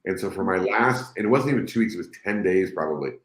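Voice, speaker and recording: A man's voice: sits low, expressive, fast, roomy sound. A man hosting a live interview, enthusiastic and rambling, often restarting his sentences. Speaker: host.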